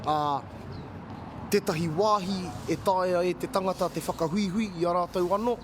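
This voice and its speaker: A woman's voice: lowish voice, steady tone, fairly quick. A woman giving an unscripted answer as an interviewee for a news report. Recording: clear outdoor urban background noise.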